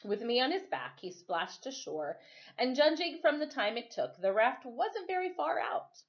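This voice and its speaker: A woman reading a story aloud for children as a storytime teacher, expressive and informal. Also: high voice, moderate pace, muffled recording.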